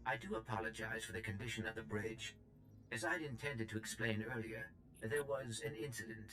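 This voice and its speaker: An actor playing a polite, fussy protocol droid in a video game, formal and deferential. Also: male voice, lowish voice, monotone, fairly quick, noisy background, natural voice. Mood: obsequious calm. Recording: game music behind the voice.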